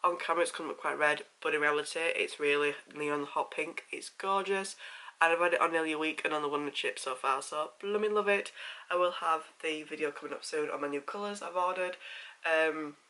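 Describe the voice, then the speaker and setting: A man's voice: fairly high pitch, brisk, bright, forceful tone. A man with a Yorkshire accent, chatting casually to camera as a vlogger, rambling with lots of fillers, a bit congested with a sore throat.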